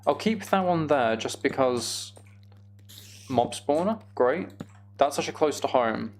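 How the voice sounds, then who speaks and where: A man's voice: mid-range voice, lively intonation, a little slow, a little hiss or hum. A man giving casual, self-deprecating gameplay commentary, musing aloud as he goes. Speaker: gaming video host.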